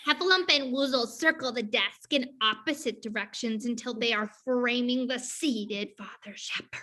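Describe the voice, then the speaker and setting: A woman narrates a play script, reading the stage directions aloud. Her voice is high and strikingly bright, in a scripted narration style. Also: normal speed.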